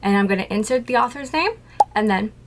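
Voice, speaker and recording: A woman's voice: medium pitch, lively intonation, fast, a loud crowd. A woman talking to camera about her tarantulas, chatty and playful, asking herself questions and then answering them. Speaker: tarantula keeper vlogger. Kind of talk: casual scripted talk to camera.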